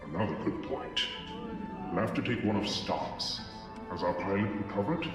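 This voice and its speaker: A man playing a calm, humble and wise alien Jedi master in a dramatic comic dub, measured and solemn. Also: sits low, close to an everyday voice. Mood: calm resolve. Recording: heavy cave reverb, music behind.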